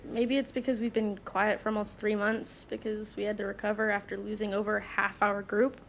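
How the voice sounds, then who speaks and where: A woman's voice: mid-range voice, little pitch movement, fairly quick, gravelly, slight background noise, natural voice. A woman playing a troubled, principled young superhero in an audio drama, speaking sincerely with firm moral conviction. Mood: skeptical, reproachful.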